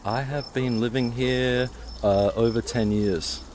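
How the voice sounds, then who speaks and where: A man's voice: fairly even intonation, takes it slowly, darkish tone, a little hiss or hum. A man, born in Hong Kong, chatting casually as he live-streams a walk outdoors at night. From born in Hong Kong, grew up in Australia. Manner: chatty, easygoing, talks to cats in a high voice. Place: rural roads outdoors at night. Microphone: mobile streaming rig.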